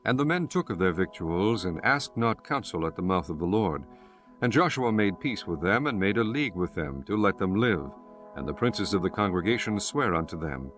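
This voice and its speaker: A man narrating a scripture audiobook in a solemn, measured and formal way. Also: sits low, big pitch swings, fairly bright tone, soft background music.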